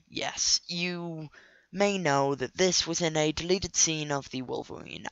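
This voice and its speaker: A man with a cold and a nasal voice, hosting a scripted comic commentary video with deadpan, sarcastic asides. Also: on the high side, gravelly, no room sound, dull audio. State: sick with a cold. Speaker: video host.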